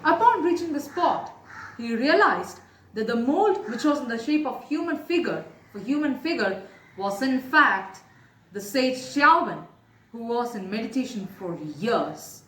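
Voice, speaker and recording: A woman's voice: a little high, animated, unhurried, fairly bright tone. A young woman with an Indian accent narrates a moral tale, earnestly explaining and addressing her listeners directly. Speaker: storyteller. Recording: echoey room with faint background noise.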